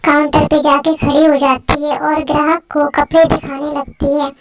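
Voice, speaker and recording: High-voiced woman narrating over music. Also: flat delivery, moderate pace. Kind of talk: scripted cartoon story narration.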